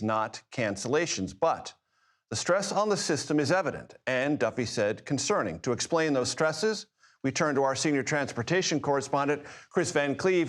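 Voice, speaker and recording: Formal male news anchor, slightly high voice. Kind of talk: scripted news anchoring, measured delivery.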